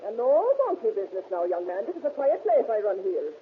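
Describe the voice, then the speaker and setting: A woman's voice: medium pitch, sing-song, quick pace, natural voice. A woman playing a cranky, suspicious older rooming-house landlady, scolding and haggling in folksy speech, in 1930s radio drama style. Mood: stern, haggling. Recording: old tinny band-limited radio, hissy.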